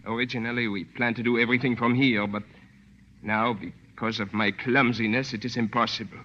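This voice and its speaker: An actor playing an earnest French resistance man in a 1940s radio drama, formal, measured and courteous. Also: mid-range voice, fairly even intonation, lightly acted. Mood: earnest, dignified. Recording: dull old radio recording.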